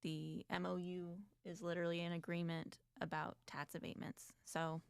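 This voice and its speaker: A woman's voice: on the low side, level tone, moderate pace, creaky, clear, resonant tone, no echo, studio quality. A woman co-hosting an advocacy talk show, chatting casually and reading documents aloud with commentary. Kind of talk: casual discussion.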